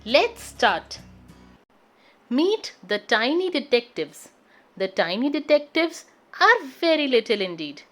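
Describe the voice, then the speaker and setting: A woman reading a picture story aloud to children in an expressive, animated storyteller's way. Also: high-pitched, slow pace, faint music behind. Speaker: children's read-aloud host.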